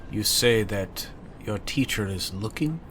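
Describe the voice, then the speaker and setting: A man voicing a serious, worldly young hunter who speaks haltingly and sounds older than his years in a dark fantasy game. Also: on the low side, expressive, a little hiss or hum. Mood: earnest, probing. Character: grave, worldly young male hunter in his early twenties. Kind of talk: in-character dark fantasy role-play.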